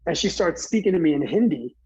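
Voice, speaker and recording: A man from New Jersey earnestly recounting a personal story in conversation, halting, with many fillers and restarts. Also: on the high side, sing-song, mellow, muffled recording. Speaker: guest speaker.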